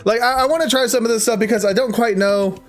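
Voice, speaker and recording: A man hosting a casual let's-play, reacting out loud to the game and chatting to his viewers. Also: very high voice, fast talker, soft background music. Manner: reactive, interjecting, talking to viewers. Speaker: gaming YouTuber host. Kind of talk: casual let's-play commentary, reading game text aloud.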